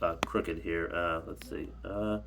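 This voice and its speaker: A man's voice: low voice, steady tone, takes it slowly, gravelly, a bit bright. A man ranting casually to his camera at home, rambling and blunt, a little stuffy from allergies. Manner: rambling, blunt, repeats himself. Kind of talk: casual rant. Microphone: camera mic.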